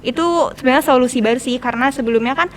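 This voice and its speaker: A woman's voice: sits high, racing. A teenage girl with a Jakarta Indonesian accent speaking casually as a student guest on a podcast. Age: teens. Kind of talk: casual conversational interview. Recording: noticeable background noise and ambience.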